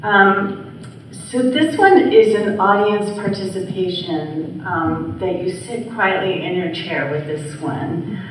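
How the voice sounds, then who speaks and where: A woman interviewing a guest onstage, halting and searching for words as she asks. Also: mid-range voice. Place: large hall at a live event. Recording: echoey hall from far off, faint noise.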